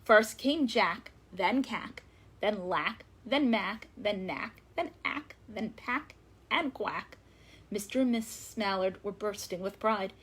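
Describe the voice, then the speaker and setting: A woman reading a story aloud to young children as a librarian, expressive and emphatic, lingering on words. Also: mid-range voice, takes it slowly, slightly rough.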